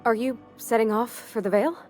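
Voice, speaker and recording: A woman's voice: on the high side, steady tone, a little fast, a little mellow, faint music behind, close to an everyday voice. A woman playing a shy, stammering young mechanic. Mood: timid, hopeful. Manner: hesitant, stammering, folksy. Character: shy, eager, deferential young female mechanic. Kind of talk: video game character acting.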